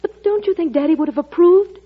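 A woman's voice: very high-pitched, brisk. A woman acting as an earnest, strong-willed young daughter in love in a 1940s radio drama, pleading and emphatic. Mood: pleading, insistent. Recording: muffled vintage radio recording.

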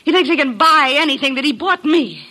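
An actress playing an unhappy, needy rich wife in an old radio drama, pleading melodramatically. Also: female voice, high-pitched, animated, brisk, sharp tone. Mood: bitter, defiant. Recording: vintage 1950s radio recording.

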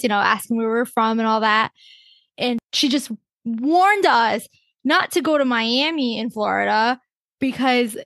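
A woman co-hosting a podcast, telling a travel story in a quick, chatty way. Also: on the high side, fairly bright tone, no echo. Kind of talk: casual podcast chat.

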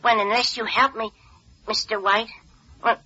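A woman's voice: fairly high pitch, fairly even intonation, moderate pace, sharp tone, slightly rough. A woman playing a small, anxious male alien who pleads in flowery, hesitant, singsong rhyming couplets in a 1940s radio serial. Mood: frantic, pleading. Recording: dull lo-fi old radio recording.

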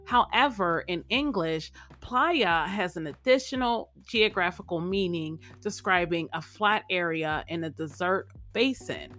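A woman's voice: on the low side, measured pace, few pauses, fairly bright tone, soft background music. A woman hosting a podcast, getting over a cold so her voice is a little off, talking in an upbeat, chatty way and repeating her phrases. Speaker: podcast host. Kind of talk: casual motivational chat and vocabulary lesson.

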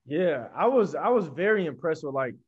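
A man asks a rapper about his music, speaking in a loose, chatty way. His voice sits a little higher than most men's. He leans on "like" and restarts his sentences often. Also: big pitch swings, moderate pace, breathy edge, lo-fi sound. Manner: chatty, many "like" fillers. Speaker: interviewer. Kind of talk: casual interview questions.

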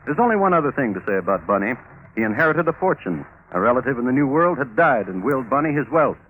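An actor playing a droll, precise crime historian, narrating in a measured deadpan in old radio style. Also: male voice, mid-range voice, a little hiss or hum, lightly acted. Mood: wry deadpan. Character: droll, precise crime historian hosting true murder tales. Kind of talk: scripted 1950s radio narration. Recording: tinny old radio recording.

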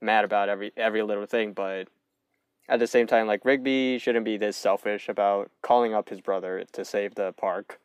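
A man's voice: fairly low pitch, fairly even intonation, brisk, smooth, no room sound. A young man co-hosting a podcast, casually recapping a cartoon episode and swearing freely. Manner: loose, swears casually, narrates plot points. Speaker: podcast co-host.

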